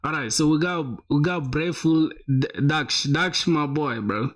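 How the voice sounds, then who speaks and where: A man's voice: on the high side, a little slow. A young man live-streaming, reviewing viewers' websites in a casual, slangy way, full of "bro" and "my boy".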